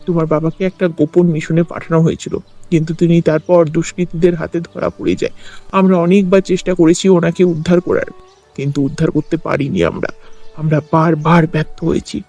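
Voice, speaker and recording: An actor playing a regretful senior army officer, solemnly explaining things in a cartoon story. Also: male voice, a little high, level tone, a little fast, dark tone. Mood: grave, regretful. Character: grave, regretful senior army officer, old family friend. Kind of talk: dramatized cartoon story acting. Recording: music under the voice.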